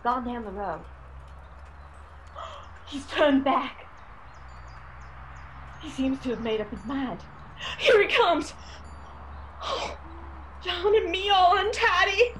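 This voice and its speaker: An older housewife in a radio drama, anxious and fussing. She speaks slowly in a somewhat high voice with homely, folksy phrasing. Faint noise sits behind her. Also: fairly bright tone, poor audio. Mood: anxious, watchful.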